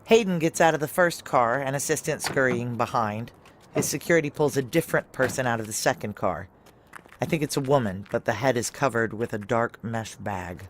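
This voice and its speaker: An actor playing a guarded, wry security chief in a noir audio drama, narrating and speaking in a clipped deadpan. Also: male voice, medium pitch, a little fast, slight background noise, lightly acted.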